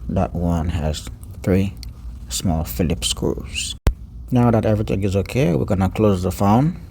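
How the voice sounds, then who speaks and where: Low, gravelly-voiced man giving repair instructions. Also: lively intonation, mellow, slight background noise. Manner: halting, pausing mid-sentence, repeats words. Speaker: phone repair technician giving a tutorial. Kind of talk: unscripted step-by-step repair narration.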